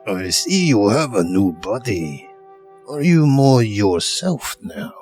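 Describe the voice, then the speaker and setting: A man voicing a calm, solemn celestial horn keeper in a fantasy audio story, with a husky voice and grave manner. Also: fairly low pitch, slow pace, faint music behind.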